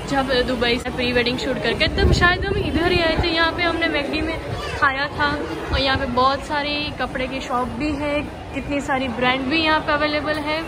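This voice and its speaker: A young woman with a high voice chats brightly while showing off a mall. She holds her phone at arm's length, and a loud crowd and heavy noise fill the background. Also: normal speed. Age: young adult. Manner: upbeat, chatty tour-guide narration. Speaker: vlogger. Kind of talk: casual mall tour vlog. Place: busy shopping mall. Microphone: phone held at arm's length.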